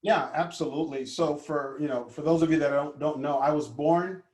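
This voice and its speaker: A man in his fifties from Honduras, a guest life coach telling his childhood story in an earnest, gentle way with many fillers. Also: fairly high pitch, fairly even intonation, normal speed, reverberant. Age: 50s. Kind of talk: conversational storytelling.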